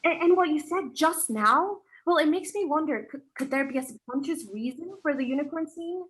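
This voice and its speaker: High, bright, eager woman author played by an actress. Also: brisk. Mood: wondering. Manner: hesitant, excitable, searching for words. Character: eager, flustered young woman author, thoughtful student. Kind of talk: stage play table read.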